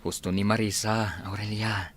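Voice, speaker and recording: A man's voice: on the low side, steady tone, moderate pace, a bit bright. A man playing a plain-spoken, concerned village husband in a radio drama, talking in a conversational, matter-of-fact way. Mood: somber, sympathetic.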